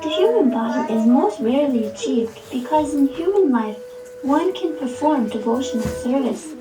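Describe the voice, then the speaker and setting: Woman playing a high-voiced, serene boy prince. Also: expressive. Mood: serene, earnest. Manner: earnest, measured, gentle. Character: serene, devout young boy prince preaching calmly. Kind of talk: scripted puppet show recitation. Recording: echoey, distant, music behind.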